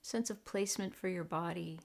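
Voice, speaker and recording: A woman leading a guided meditation in a deliberate, gentle, hushed manner with long pauses. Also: on the low side, vocal fry. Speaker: meditation teacher.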